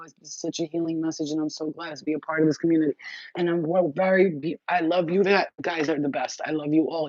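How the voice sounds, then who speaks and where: A woman's voice: fairly low pitch, a little mellow, dry recording, muffled recording. A woman hosting a spiritual healing livestream, talking bluntly and fervently, swearing freely, and reading out viewers' comments. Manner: addresses viewers directly, reads their comments aloud. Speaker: spiritual healer livestream host. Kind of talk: spontaneous spiritual livestream talk.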